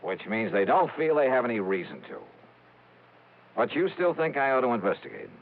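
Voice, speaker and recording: A man's voice: mid-range voice, big pitch swings, moderate pace, a little hiss or hum. An actor playing a tough, wisecracking male insurance investigator, narrating and questioning in 1950s radio drama style. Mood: probing. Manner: tough, breezy first-person narration. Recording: narrow phone-like old radio recording.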